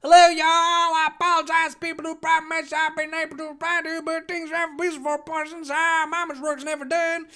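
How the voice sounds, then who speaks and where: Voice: extremely high pitch, flat delivery, fairly quick, few pauses, bright, forceful tone, voice pushed up, full character voice. A performer voicing a folksy, chirpy busy mother reading out a chatty note. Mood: cheery, apologetic.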